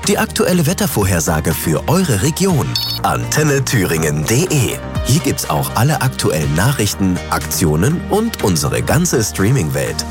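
Highly animated male station announcer over music. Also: measured pace. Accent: standard German. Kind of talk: promotional jingle voice-over.

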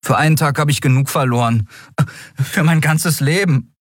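A man's voice: fairly high pitch, normal speed, dry recording, studio quality, voice pushed up, natural voice. A man voicing a dreamy boy in an audiobook, resigned and hesitant. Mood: sulky. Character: dreamy, downcast boy who longs to be a hero. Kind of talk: audiobook character voice.

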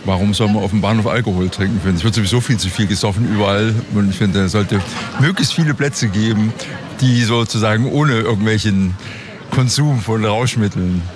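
Male passer-by, lowish, blunt opinions, crowd clearly audible. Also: fairly quick. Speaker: passer-by in street interview. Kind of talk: vox pop. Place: outdoors at a train station. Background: crowd and street ambience.

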